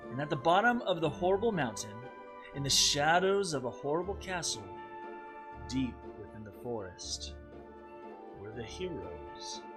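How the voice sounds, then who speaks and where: A patient dad spins a campfire tale, voiced by a man reading a storybook. He takes his time, with dramatic rises and falls in a fairly high male voice. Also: sing-song, measured pace, loud music behind the voice. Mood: storytelling. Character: patient father telling a campfire fairy tale.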